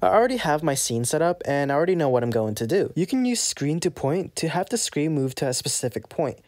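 A casual, playful host narrating a scripted software tutorial. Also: medium pitch, big pitch swings, quick pace, no echo.

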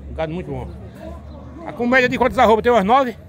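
A man with a Northeast Brazilian accent walking a cattle fair, calling out prices in lively, repetitive sales patter. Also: high voice, fast talker. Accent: Northeast Brazilian Portuguese. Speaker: cattle fair video host. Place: outdoor cattle fair pens, busy crowd. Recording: crowd noise behind.

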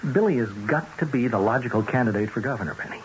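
An actor playing a persuasive, hard-nosed political fixer in a 1970s radio drama, glib and pressing. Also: male voice, mid-range voice, expressive, fast talker, close to an everyday voice. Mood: coaxing. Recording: dull, hissy 1970s radio broadcast.

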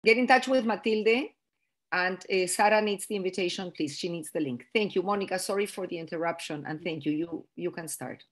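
A woman's voice: on the low side, a little fast. A woman organizing an online event, giving casual spoken instructions over a video call.

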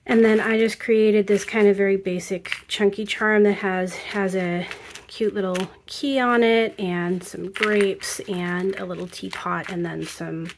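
A woman calmly narrating a walkthrough of a handmade album, explaining each step with fillers. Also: mid-range voice, slight background noise. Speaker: craft video creator. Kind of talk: casual craft walkthrough narration.

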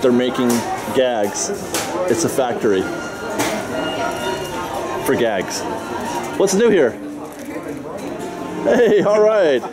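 A man vlogging casually through a theme park, excitable and jokey, laughing often. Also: mid-range voice, very slow, long pauses. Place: outdoors in a busy theme park and indoor shop. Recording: loud music and crowd behind.

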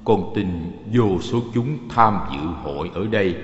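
A man playing a humble, devout monk who speaks earnestly and formally, in an animated religious drama. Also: fairly low pitch, unhurried. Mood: earnest pleading. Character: humble, devout male monk, senior disciple pleading. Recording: reverberant hall echo, slightly dull sound.

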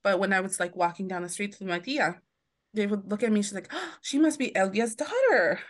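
A woman's voice: mid-range voice, fairly quick. A woman from the United States, a podcast guest talking casually and at length, often restarting sentences and acting out the people she quotes. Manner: chatty, many restarts, acts out quoted voices. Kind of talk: casual interview chat.

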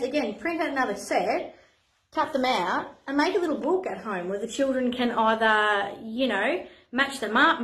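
Woman, thirties, playgroup leader, fairly high voice, encouraging, echoey room. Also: a bit bright. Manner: chatty and encouraging, with filler ums. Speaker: playgroup facilitator. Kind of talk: online playgroup lesson, talking to camera. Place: indoor play room. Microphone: camera at a few metres.

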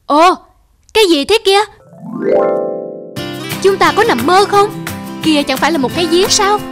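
An excitable, whiny little cartoon frog. The voice is high and exaggerated, made for a children's animation. Music plays softly behind it. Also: female voice, measured pace. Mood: excited. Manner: exaggerated, expressive cartoon delivery. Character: two talking frogs, one whiny and rash, one cautious.

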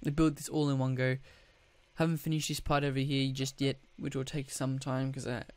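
A man with a croaky, just-woken-up voice, giving casual gameplay commentary, rambling with restarts and throat clearing. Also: mid-range voice, flat delivery, a little fast, a little mellow, no echo. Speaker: gaming YouTuber.

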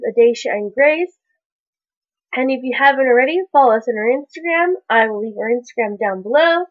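Young woman vlogger, stuffy congested voice, rambling chat, fairly high pitch, lo-fi webcam sound. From Canada. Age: young adult. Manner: chatty, rambling, many "like" fillers. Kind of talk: casual chat to camera. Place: small bedroom indoors. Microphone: webcam at desk distance. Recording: dull lo-fi webcam audio.

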